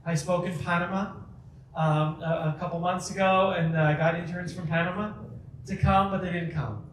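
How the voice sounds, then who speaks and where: A man from Chicago giving a public talk and taking audience questions, lively, with quick asides and restarts. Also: high voice, slight background noise. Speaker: guest speaker. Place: community center hall. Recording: echoey hall, mic at a distance.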